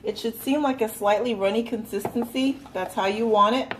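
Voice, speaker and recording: A woman's voice: fairly high pitch, moderate pace, far from the mic, a little hiss or hum. Woman, casual chatty cooking demo, echoey room, mic set back. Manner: chatty and relaxed, with filler words. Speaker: home cooking demonstrator. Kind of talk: casual recipe demonstration. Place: home kitchen.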